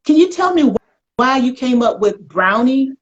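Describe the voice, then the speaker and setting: Casting: American female talk host, fairly high voice, playful and folksy, slightly dull lo-fi stream sound. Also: very animated, normal speed. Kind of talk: casual conversational interview.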